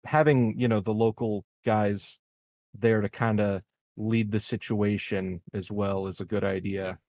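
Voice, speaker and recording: A man playing a tabletop game, talking casually and planning aloud in a halting, deliberate way. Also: fairly low pitch, steady tone, vocal fry, darkish tone, dry recording.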